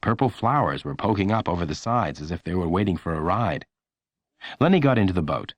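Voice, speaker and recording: Male narrator for a children's book: low register, lively intonation, measured delivery, dry studio sound. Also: no echo. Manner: expressive, measured storytelling. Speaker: audiobook narrator. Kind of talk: scripted children's story narration.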